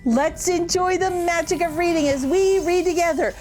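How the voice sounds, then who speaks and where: A woman's voice: very high voice, moderate pace, darkish tone, soft background music. A woman reading a picture book aloud to children as a storytime host, exclamatory and asking her young listeners questions.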